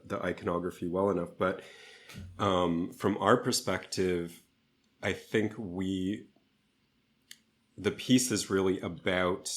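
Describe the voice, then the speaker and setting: A man speaking as an interview guest, choosing his words carefully and hesitantly in a conversational way. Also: low voice. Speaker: theatre artist, interview guest.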